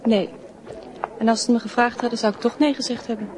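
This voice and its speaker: A woman's voice: a little high, fairly even intonation, quick pace, lightly acted. An actress playing a young, friendly, matter-of-fact schoolgirl in a radio play. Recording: noisy radio play recording.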